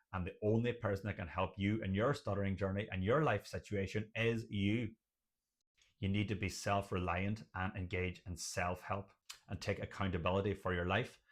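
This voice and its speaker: An Irish man presenting motivational advice to camera, speaking emphatically and building points through repeated lists. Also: low-pitched. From Ireland. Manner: emphatic, repetitive listing. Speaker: video host, doctor and stuttering advocate.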